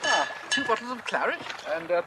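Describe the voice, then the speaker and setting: An actor playing a gentle, curious English priest in a radio drama, with a musing, hesitant, wryly humorous manner. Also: male voice, high voice, big pitch swings, a little fast. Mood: teasing. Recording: very noisy radio drama recording.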